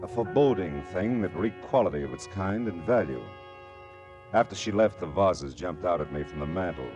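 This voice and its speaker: An actor playing a wry, smooth-talking hard-boiled private detective in a 1940s style radio drama, with dry wisecracks. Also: male voice, low voice, lively intonation, moderate pace, highs cut off, over music. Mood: wry narrating.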